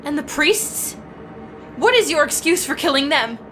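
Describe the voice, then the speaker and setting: A woman's voice: sits high, expressive, unhurried, sharp tone, faint music behind, heavily acted. A woman playing a desperate teenage village girl, urgent and imploring, in a dramatised fantasy audiobook. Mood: accusing. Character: desperate, pleading fifteen-year-old village girl.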